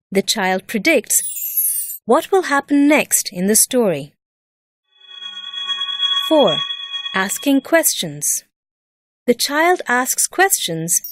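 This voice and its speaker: Slow, expressive female lesson narrator. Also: a little high. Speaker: teacher narrating an educational video. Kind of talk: scripted instructional narration.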